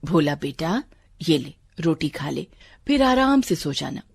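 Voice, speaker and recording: A woman's voice: sits low, big pitch swings, measured pace, creaky, slightly rough, pitched below the performer's own voice, heavily acted. A woman voicing a poor village mother in a storybook tale, tender, anxious and pleading.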